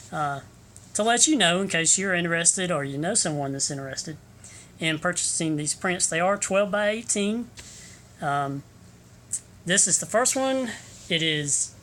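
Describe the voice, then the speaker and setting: A man gives a rambling, hesitant sales pitch into his phone camera, with many ums and restarts, in a room with an overhead fan running. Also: moderate pace, bright, forceful tone, a little hiss or hum. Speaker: local photographer selling prints.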